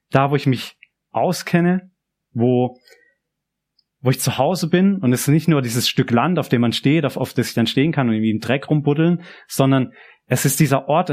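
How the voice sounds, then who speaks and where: A man's voice: medium pitch, a little mellow. A young man with a southern German accent giving a personal, conversational youth church sermon, often repeating and restarting phrases. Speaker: preacher.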